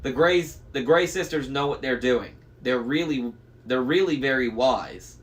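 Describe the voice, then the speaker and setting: A man's voice: mid-range voice, measured pace, natural voice. A man voicing an anxious, bossy young girl with an urgent, insistent storybook delivery. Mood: worried.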